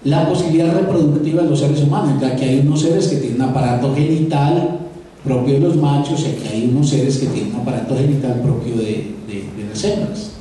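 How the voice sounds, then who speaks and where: A man's voice: mid-range voice, a little fast, mellow. A man with a Paisa accent from Antioquia, giving a live lecture as a professor, talking conversationally to his audience and often repeating words while he thinks. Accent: Paisa (Antioquian Spanish). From Antioquia, Colombia. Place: auditorium. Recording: echoey auditorium from far off, faint noise.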